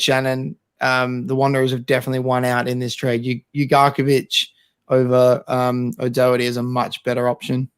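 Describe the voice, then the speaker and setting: Raspy-voiced aussie guy chatting footy, a bit hoarse, easygoing. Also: mid-range voice, a bit bright, no room sound. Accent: Australian. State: hoarse after a long night. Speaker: podcast co-host. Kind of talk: casual sports chat.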